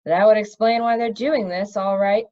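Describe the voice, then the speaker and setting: A woman's voice: mid-range voice, fairly even intonation, normal speed, warm tone, no room sound, muffled recording, close to an everyday voice. Woman reading as an earnest, thoughtful young king.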